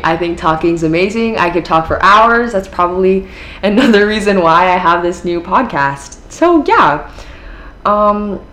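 This is a teenage woman with an American accent, chatting casually on her own podcast. Her voice sits low for a young woman, and she rambles with fillers in a friendly, upbeat way. A faint noise hums under the recording. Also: a bit bright. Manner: chatty, filler-heavy, upbeat with frequent laughs. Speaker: podcast host. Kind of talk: casual solo podcast talk.

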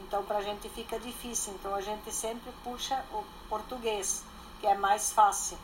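A woman's voice: mid-range voice, steady tone, moderate pace, noisy background. An older woman, a guest speaking plainly and matter-of-factly in casual conversation. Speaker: interview guest. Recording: faint background ambience.

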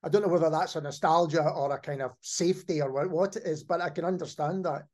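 A man's voice: on the high side, fast talker, darkish tone. A man hosting a casual podcast interview in a reflective, agreeable way. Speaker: podcast host.

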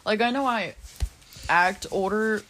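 A teenage girl with a deep voice, co-hosting a casual chat and talking frankly about her job in a relaxed, rambling way. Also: unhurried, poor audio. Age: teens. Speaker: podcast co-host, drive-thru worker.

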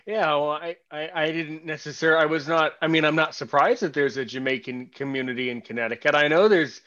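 A man interviewing a podcast host, curious and halting, often restarting his sentences. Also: a little high, bright, forceful tone, highs cut off. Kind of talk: casual interview chat.

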